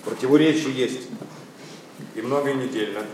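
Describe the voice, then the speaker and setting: An actor playing a meek, obsequious young secretary who speaks in hushed, deferential tones. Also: male voice, medium pitch, normal speed, recorded from a distance, lightly acted. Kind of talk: classic stage verse acting. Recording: echoey stage from afar, faint noise.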